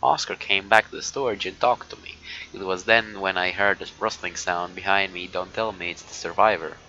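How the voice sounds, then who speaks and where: A man with a fairly deep voice reads a visual novel aloud on stream. He goes haltingly, with offhand asides. The sound is muffled and has some noise in it. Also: steady tone, bright, forceful tone. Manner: halting reading broken by muttered asides. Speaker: live streamer. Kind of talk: reading a visual novel aloud with casual reactions. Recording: dull lo-fi mic with noise.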